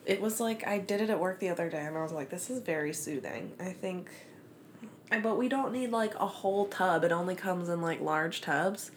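A woman co-hosting a podcast, soft-spoken and reassuring, her voice often trailing into quiet murmurs. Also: on the low side, a little fast, slight background noise. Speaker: podcast co-host. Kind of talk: casual chat.

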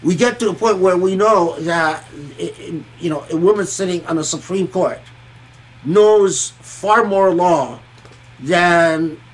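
A male religious scholar lectures informally on scripture in a notably high voice. He speaks slowly and thoughtfully, halting often and repeating words as he works through each point. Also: rough recording. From Egypt and Kuwait. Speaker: religious scholar giving Quranic commentary.

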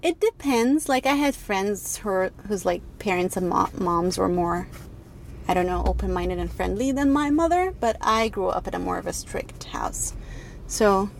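A woman's voice: medium pitch, moderate pace, creaky, slight background noise, rough recording. Candid woman guest, chatty. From Egypt. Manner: candid, laughs often, many restarts and "like". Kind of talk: casual interview chat.

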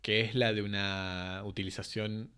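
Low-ish guy interviewing, academic, lots of stops and restarts. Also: level tone, fairly bright tone, clear, resonant tone. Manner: halting, many restarts, academic wording. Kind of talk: interview questions.

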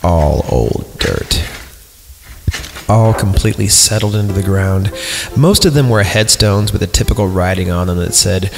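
Low, gravelly man reading horror irreverently. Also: expressive, a little slow. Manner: irreverent, mock-disgusted, often breaks off to comment. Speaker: podcast host reading a story aloud. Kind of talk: comedic narration of a horror story with casual asides. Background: background music.

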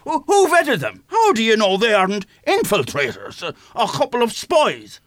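A man's voice: high voice, huge pitch swings, normal speed, a bit bright, dry recording, clean studio sound, full character voice. A man acting a suspicious, blustering member of a secret band in an Irish audio comedy, stammering and repeating words in an Irish accent. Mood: suspicious, agitated.

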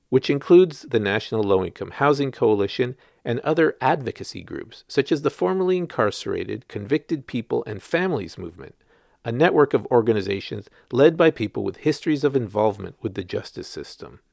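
A man's voice: mid-range voice, vocal fry, no echo, studio quality. A man working as a journalist, reading his written article aloud as scripted narration.

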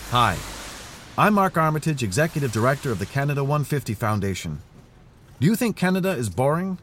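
Flustered, sarcastic, animated male boss. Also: medium pitch, a little fast. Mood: rousing, theatrical. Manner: stammering, wry, theatrical in speeches. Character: flustered, sarcastic, self-important male foundation director. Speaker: actor in a satirical audio drama. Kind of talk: naturalistic satirical audio-drama acting. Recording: faint vehicle noise behind. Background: moving car ambience.

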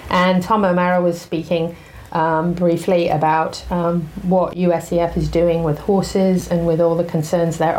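A woman's voice: lowish voice, darkish tone, poor audio. A woman hosting a radio talk show, upbeat and chatty, reading sponsor spots.

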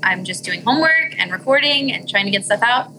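A woman's voice: medium pitch, lively intonation, fairly quick, bright, forceful tone, slight background noise, poor audio. A young woman of twenty, a singer, chatting casually and filling her speech with "like". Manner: chatty, lots of "like". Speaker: guest singer. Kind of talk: casual conversation.

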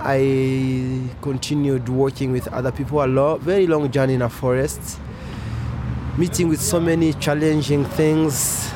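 Earnest young man, traffic behind. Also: a little high. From Congo. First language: French. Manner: slow, earnest, searching for words. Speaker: interview guest. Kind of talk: personal testimony.

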